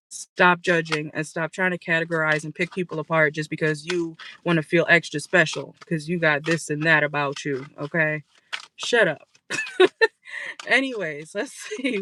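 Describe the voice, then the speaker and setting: Blunt, bright-voiced tarot reader losing voice. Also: medium pitch, slight background noise, low-quality recording. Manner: blunt and profane, tags lines with "okay?". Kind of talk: casual tarot reading for viewers. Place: in a car.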